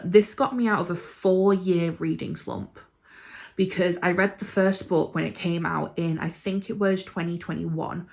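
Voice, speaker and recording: A woman with a slightly low voice talks in a casual, informal vlog style. She reviews books in an emphatic, gushing manner, as if chatting to followers. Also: vocal fry. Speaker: book reviewer vlogger. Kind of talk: casual chat ranking books.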